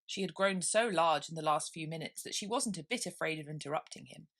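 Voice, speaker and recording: A woman's voice: deep voice, a little fast, a bit bright. A woman narrating a storybook expressively from a script. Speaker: storybook reader.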